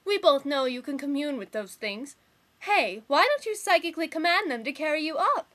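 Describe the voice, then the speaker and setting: A woman performs a high-pitched young troll girl in fandub style. She is bossy and theatrical, mocking whoever she talks to with impatient, sarcastic bite. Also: animated, moderate pace, a bit bright. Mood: smug. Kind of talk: amateur fandub audition acting.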